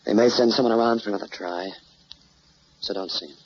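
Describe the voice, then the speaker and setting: An actor playing a chivalrous, plain-spoken newspaper reporter in an old crime drama, polite, hesitant and protective. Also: male voice, fairly low pitch, fast talker, darkish tone, close to an everyday voice. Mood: awkward. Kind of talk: mid-century American crime drama acting. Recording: muffled, noisy old drama soundtrack.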